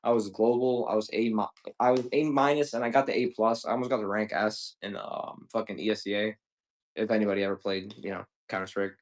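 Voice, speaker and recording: A man's voice: on the low side, steady tone, brisk. A man in his twenties chatting casually and profanely while selling on a livestream, rambling with lots of slang. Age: 20s. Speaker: livestream seller.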